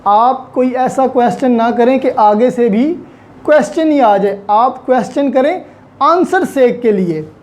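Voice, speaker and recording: A man's voice: extremely high pitch, measured pace, a little mellow. A man with a Pakistani accent giving an explanatory lecture as a law teacher, repeating and rephrasing points and mixing in English terms.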